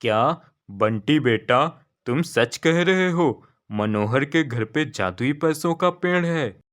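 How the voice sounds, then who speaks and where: A man's voice: on the high side, lively intonation, slow, fairly bright tone. A man playing a jealous, scheming village landlord in a cartoon story, brooding and calculating. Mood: astonished.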